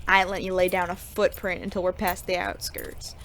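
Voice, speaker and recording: Woman acting gravelly, wry Old West gunslinger. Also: fairly low pitch, steady tone, a little fast, a bit bright, slight background noise. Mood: fond, wry. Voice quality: gravelly creak. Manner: drawling frontier slang, wry. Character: weary, honorable, teasing gunslinging ex-sheriff of the Old West. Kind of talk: audio drama western dialogue.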